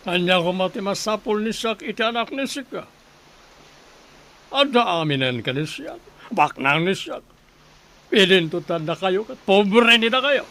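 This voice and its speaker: A man's voice: sits high, expressive, a little slow, pauses often, bright, forceful tone, exaggerated voice. A man with a Filipino accent playing a rich, haughty master in a radio drama. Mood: haughty, threatening. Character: rich, haughty master threatening a poor family. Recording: noisy background hiss.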